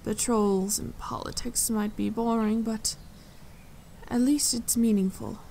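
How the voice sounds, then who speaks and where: Casting: woman as a tall, protective fae noble for ASMR fantasy roleplay; unhurried, gravelly-edged, composed and wryly superior, birdsong behind. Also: a little high, steady tone, slight background noise. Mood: dry, purposeful. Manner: measured, composed, gently condescending to the listener. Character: tall, regal fae court leader, dryly amused, protective. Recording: forest ambience with birdsong. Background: birdsong and forest sounds.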